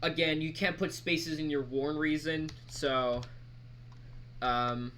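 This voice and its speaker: A man giving a casual screen-recorded software tutorial, talking in a halting, rambling, informal way. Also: fairly high pitch, steady tone. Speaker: mod creator presenting tutorial. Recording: background noise, keyboard typing.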